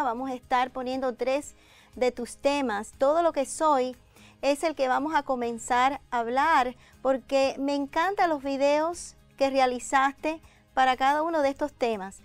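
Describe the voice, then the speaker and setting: A woman's voice: high-pitched, normal speed, no room sound, faint music behind. A middle-aged woman hosting a conversational interview programme in a studio. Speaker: programme host. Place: indoor TV studio set with armchairs.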